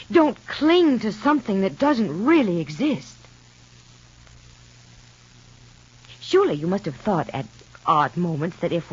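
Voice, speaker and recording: An American actress playing an insistent, exasperated middle-aged mother in a vintage radio soap, with a husky voice. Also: mid-range voice. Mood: urgent, exasperated. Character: insistent, exasperated mother figure lecturing a younger woman. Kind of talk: 1950s radio soap acting. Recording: dull vintage radio recording.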